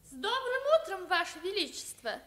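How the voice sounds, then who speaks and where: A woman's voice: extremely high pitch, big pitch swings, a little fast, fairly bright tone, exaggerated voice. An actress playing a sweet, courtly young noble girl with sing-song politeness in an old radio drama. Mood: polite. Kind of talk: Soviet 1950s radio play acting.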